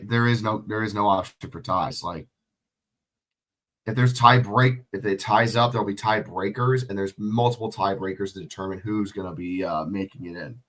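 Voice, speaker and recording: A man's voice: lowish voice, a bit bright. A sick, sniffly man doing casual solo play-by-play of a football game, swearing and chatting with viewers. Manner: swearing, chatty, answering chat questions. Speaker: livestream play-by-play commentator.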